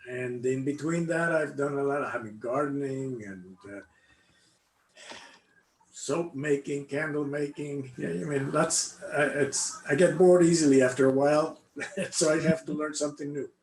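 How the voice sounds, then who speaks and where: Echoey older man from Cuba, halting speech. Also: on the high side, darkish tone. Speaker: interviewee, congregation member. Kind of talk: casual personal interview, recollecting. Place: indoor room, online video call. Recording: echoey room, faint background noise.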